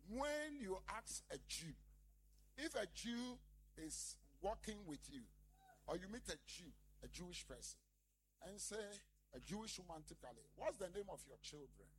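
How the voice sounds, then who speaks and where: Animated pastor preaching. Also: male voice, sits high, moderate pace, slight background noise, low-quality recording. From Ghana. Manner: emphatic, calls out to the congregation. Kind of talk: lively sermon.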